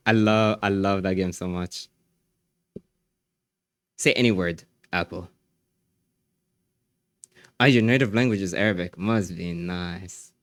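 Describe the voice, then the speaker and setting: Brief: male streamer, low voice, dry desk mic, easygoing chat replies. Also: slow pace, long pauses, a bit bright, dry recording. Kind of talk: casual live-stream chat.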